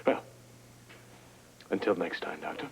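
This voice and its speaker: An actor playing a polite, reserved man speaking quietly with a doctor. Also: a bass voice, steady tone, measured pace, creaky, slight background noise, full character voice. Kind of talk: 1980s TV soap acting.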